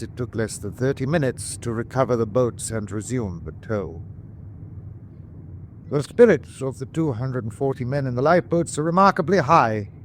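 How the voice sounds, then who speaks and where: An actor playing a weary, dutiful German submarine captain in a wartime radio drama, speaking in a formal, measured way. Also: male voice, medium pitch, a little hiss or hum, natural voice.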